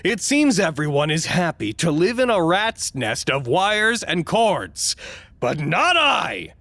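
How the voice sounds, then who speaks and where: An actor playing a fussy, self-important, easily wounded doctor in an audio drama, with a pompous, theatrical delivery. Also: male voice, on the high side, very animated, slow, sharp tone, no echo, full character voice. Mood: boastful, exasperated. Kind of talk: dramatic monologue, audio log.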